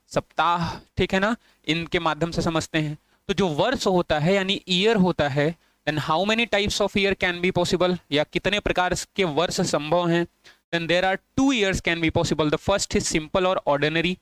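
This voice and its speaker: A man's voice: sits high, a bit bright, smooth, no echo. A man teaching an online exam-prep lesson, repeating points and asking and answering his own questions, with English phrases mixed into his Hindi.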